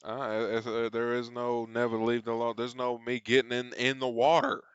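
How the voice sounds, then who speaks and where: A man's voice: medium pitch, completely flat delivery, moderate pace, clear, resonant tone, dry recording, lo-fi sound. A man hosting a reaction video, commenting casually and bluntly, with some swearing.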